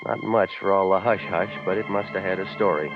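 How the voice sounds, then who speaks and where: Hardboiled radio detective, deep voice, dry wisecracks, muffled old 40s broadcast. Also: male voice, a little fast, music in the background. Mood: deadpan narrating. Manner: deadpan, sardonic, terse. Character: hard-boiled, wisecracking private eye. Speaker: narrating detective lead. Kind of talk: 1940s radio acting, noir narration. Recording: muffled vintage radio recording.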